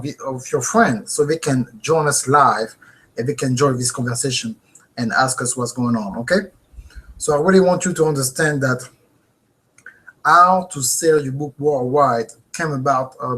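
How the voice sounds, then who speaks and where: A man in his thirties with a French accent, hosting a live webinar from a home office and talking into a webcam, earnest and animated, checking in with viewers. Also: mid-range voice. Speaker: host.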